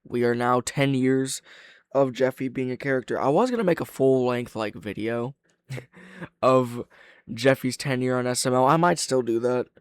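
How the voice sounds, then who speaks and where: A man's voice: medium pitch, normal speed, clear, resonant tone, no echo, clean studio sound. A man hosting a casual reaction commentary, thinking aloud with plenty of slang and swearing. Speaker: reaction video host.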